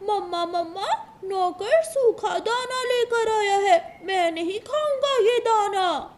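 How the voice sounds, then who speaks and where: A woman voicing a spoiled little boy crow in a Hindi cartoon story, whiny and shrill. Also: slow. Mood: bratty, outraged. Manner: whiny, shouting complaints.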